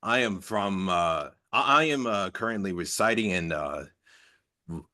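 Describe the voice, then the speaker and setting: A man introduces himself on a video call. His voice is deep and forceful. He speaks slowly and hesitantly, with frequent fillers. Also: expressive. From Ohio, United States. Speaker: participant. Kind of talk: casual self-introduction.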